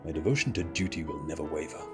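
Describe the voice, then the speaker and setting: A man reads out a learned fantasy game scholar with a low voice. He is solemn and grave, speaking in formal, measured phrases over game music. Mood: solemn, resolute. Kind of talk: reading fantasy game dialogue aloud.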